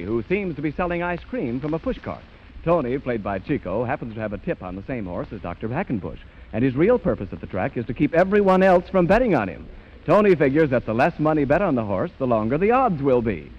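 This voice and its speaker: A man's voice: mid-range voice, sing-song, a little fast. A man narrating a story as an announcer in scripted 1930s radio style. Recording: noisy, muffled 1930s radio recording.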